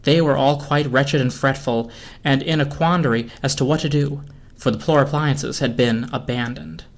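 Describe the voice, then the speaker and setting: A man's voice: mid-range voice, normal speed, creaky. A man reading a story aloud as narrator, in a dramatic storybook style. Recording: music behind the voice. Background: film score music.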